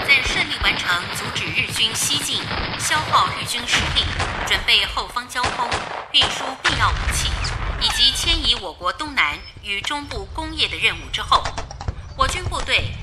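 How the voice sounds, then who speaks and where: Woman acting a formal wartime newsreader, loud music. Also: on the high side, animated, normal speed, natural voice, low-quality recording. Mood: solemn. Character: formal 1930s wartime female radio news announcer. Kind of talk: formal news bulletin in a radio drama.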